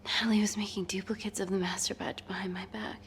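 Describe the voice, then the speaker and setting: A woman's voice: medium pitch, level tone, fast talker, slight background noise, lightly acted. An actress playing a troubled, determined woman scientist in a film thriller. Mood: distraught. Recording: long hall reverb, faint film music.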